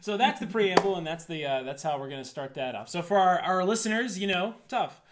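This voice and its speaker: A man's voice: high voice, animated, fairly quick. A man in his thirties co-hosting a casual pop culture review with wry, self-deprecating banter. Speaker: co-host of a pop culture podcast. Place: indoor makeshift studio room.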